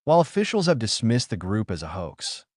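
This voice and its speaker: A man's voice: fairly low pitch, huge pitch swings, fairly quick, dry recording, studio quality. A man playing a male TV news anchor in a drama, delivering a scripted report in a formal newsreader manner. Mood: grave, composed. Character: male TV news anchor, composed then alarmed.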